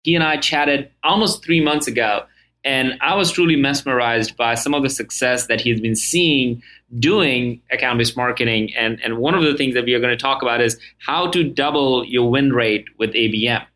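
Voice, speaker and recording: A man's voice: medium pitch, normal speed, pushed and bright. A man hosting a business podcast, enthusiastic and chatty, with frequent fillers and restarts. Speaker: podcast host.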